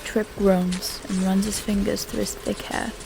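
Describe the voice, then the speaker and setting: A woman's voice: mid-range voice, little pitch movement, measured pace, gravelly, dark tone, slight background noise, poor audio. A woman giving scripted story narration for an audio drama.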